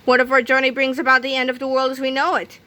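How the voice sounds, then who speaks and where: A woman voicing an anxious, soft-hearted schoolboy, hesitant and pleading, in a children's storybook read-aloud. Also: sits high, monotone, fast talker, pitched above the performer's own voice. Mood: worried.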